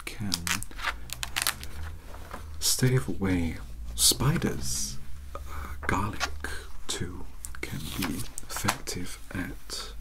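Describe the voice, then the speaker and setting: Casting: male actor for young bookish British mentor of vampire hunters, low hushed ASMR delivery, hesitant, courteous, awkwardly formal. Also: very slow, exaggerated voice, rough recording. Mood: earnest, scholarly. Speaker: ASMR roleplay performer. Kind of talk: soft-spoken whispered ASMR roleplay.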